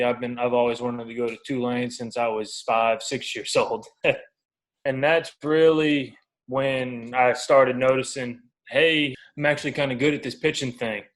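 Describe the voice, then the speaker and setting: A man in his twenties talks as a guest athlete in a casual interview. His voice is mid-pitched for a man, with a flat, even intonation and relaxed, informal wording. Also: poor audio. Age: 20s. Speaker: baseball pitcher, podcast guest.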